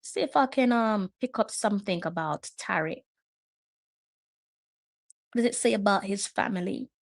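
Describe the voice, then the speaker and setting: A woman's voice: mid-range voice, expressive, normal speed, creaky, dry recording, clean studio sound. A woman hosting a live match watch-along, chatting and musing aloud as she reads up on players. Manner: chatty, muses aloud while reading up on players. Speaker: host.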